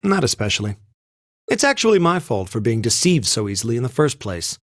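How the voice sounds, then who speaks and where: A man's voice: medium pitch, big pitch swings, fairly quick, no echo, clean studio sound. An actor playing a stiff, proud and resentful young male student in a video game, speaking curtly and formally. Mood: cold, offended. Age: young adult. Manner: curt, formal, haughty. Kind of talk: English anime-style game voice acting.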